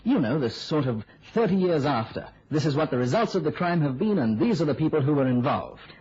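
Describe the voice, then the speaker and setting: An actor playing an eager young male reporter in an old radio drama, chatty and a little theatrical, with a husky voice. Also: fairly high pitch, very animated, a little fast, a little mellow, slight background noise. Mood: breezy, keen. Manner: chatty, storytelling, slightly theatrical. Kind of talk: mid-century radio drama acting. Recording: muffled old-time radio recording.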